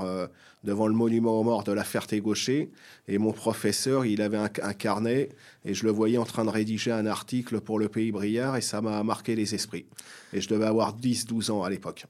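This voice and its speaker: Halting middle-aged man, flat low voice. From Seine-et-Marne, France. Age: 40s or 50s. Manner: hesitant, halting, repeating phrases. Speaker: guest writer. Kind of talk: interview answers, personal recollections.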